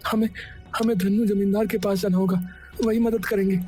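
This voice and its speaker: A man plays a plain-spoken, fretful village husband in a cartoon. He speaks haltingly and doubles back on his words. Music is clearly audible behind him. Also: high-pitched, little pitch movement, dark tone, close to an everyday voice. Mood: worried. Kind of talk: cartoon story voice acting.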